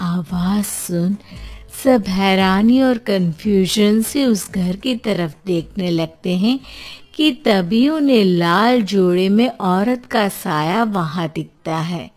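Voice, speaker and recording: A woman's voice: medium pitch, expressive, slow, darkish tone, soft background music. A woman narrating a scripted story as its storyteller.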